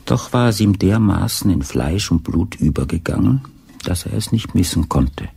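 A man narrating a radio crime play in a measured, literary style. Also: low-pitched, lively intonation, creaky, dark tone, slightly rough. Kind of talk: scripted narration.

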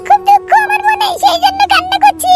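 A performer voicing a plaintive young married woman in a comic cartoon, with a squeaky, shrill, pitched-up voice. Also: little pitch movement, quick pace, far from the mic. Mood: plaintive, pleading. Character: young married woman, tearful, pleading battered wife. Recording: music behind the voice.

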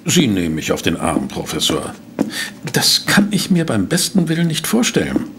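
An actor playing a detective professor's inquisitive sidekick in a German audio drama, polite and questioning. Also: male voice, fairly low pitch, wildly swooping pitch, normal speed, a bit bright, slight background noise, full character voice. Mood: curious. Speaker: investigator's companion and narrator.

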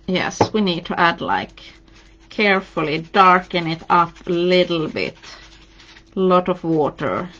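Woman, Swedish accent, live craft demo, slow unhurried talk, dull lo-fi stream audio. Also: medium pitch. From Sweden. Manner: chatty, encouraging, reads out viewer comments while painting. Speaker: craft demonstrator. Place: indoors at a craft table.

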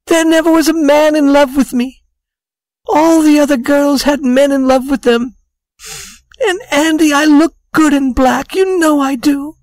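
A woman's voice: medium pitch, a little mellow, no echo, voice pushed up, poor audio. A woman voicing an anxious young woman in a storybook, pleading and earnest with her sweetheart.

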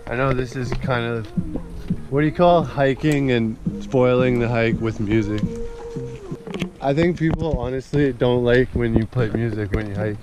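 A man's voice: mid-range voice, normal speed. A young man vlogging casually on a forest hike, joking and laughing with friends, filmed on a handheld action camera outdoors. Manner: laid-back, laughing, joking. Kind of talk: casual hiking vlog. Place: outdoors on a forest trail by a creek. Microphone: action camera held at arm's length. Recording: loud outdoor noise on camera mic.

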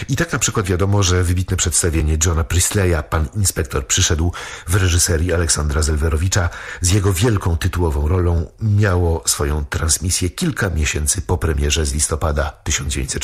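A man narrating a documentary in a formal, measured way. His voice is deep and very husky. Every sentence sounds carefully scripted.

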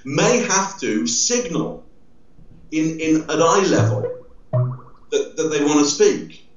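A middle-aged man explains things with lively emphasis, gesturing and moving about as he talks. His voice sounds set back from the webcam in an echoey room. The audio is dull and lo-fi. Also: fairly high pitch, slow pace. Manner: emphatic, gestures a lot, stands and moves around, tags lines with "yeah?". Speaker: body language expert guest. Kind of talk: animated explanatory interview talk. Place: indoor room with large window. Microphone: video call, webcam at distance. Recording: roomy echo, distant, dull webcam sound.

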